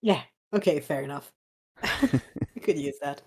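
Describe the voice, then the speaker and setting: A woman's voice: sits low, a little slow, darkish tone, breathy edge. A woman who laughs often, chatting in a giggly way with short replies while streaming game development. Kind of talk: casual chat on a dev stream.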